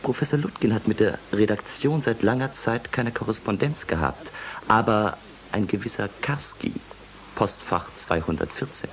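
A man's voice: fairly low pitch, moderate pace, a little hiss or hum, close to an everyday voice, rough recording. An actor playing an embittered young doctoral student in a German radio play, sarcastic and taunting as he turns to sly blackmail. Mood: scornful, controlled.

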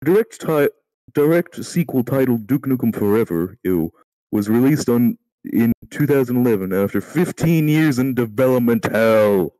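Bright-voiced man stumbling through reading aloud. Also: mid-range voice, normal speed, dry recording, poor audio. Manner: stumbling over words, casually profane. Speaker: amateur reader in a group voice call. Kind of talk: reading an encyclopedia article aloud.

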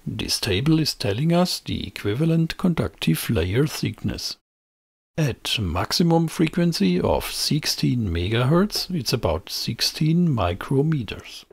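Male tutorial narrator: scripted, explanatory, raspy with some fry, very dry close-mic sound. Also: medium pitch, no echo. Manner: measured, explanatory. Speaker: presenter of an electronics tutorial channel.

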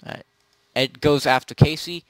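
Halting male game master, dry close sound. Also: fairly high pitch, fairly even intonation, clear, resonant tone, no echo. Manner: halting, pieces scenes together as he goes. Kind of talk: improvised narration of a tabletop zombie role-play.

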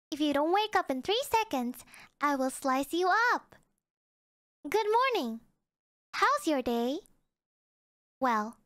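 A woman streamer speaks in a very high, cutesy voice. Her pitch moves around animatedly while the pace stays slow. The sound is close and dry, with a playful, performed delivery. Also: fairly bright tone, no echo. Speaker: virtual streamer. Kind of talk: playful livestream voice-acting of alarm messages.

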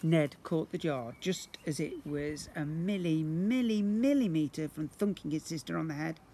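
A British man with a fairly high voice reads a children's story outdoors, with faint noise behind him. He is expressive and theatrical, stretching key words for emphasis and acting out the sound words. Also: moderate pace. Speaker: teacher reading a story to young children. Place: outdoors beside brick houses. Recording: noisy outdoor amateur video recording.

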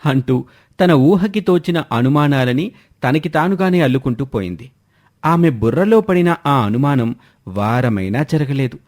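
A man's voice: medium pitch, lively intonation, normal speed, darkish tone. A man narrating a scripted moral story as the storyteller.

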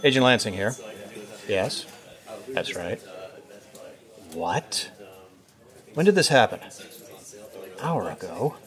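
An actor playing an eager, determined government agent in an audio drama, urgent and businesslike. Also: male voice, lowish voice, very animated, slow, long pauses, a bit bright, exaggerated voice. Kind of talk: scripted audio drama acting.

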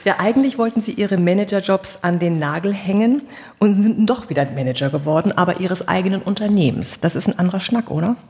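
A woman's voice: mid-range voice, quick pace, a little mellow, slightly rough, long reverb tail. A woman hosting an interview podcast, giving prepared introductions and asking questions.

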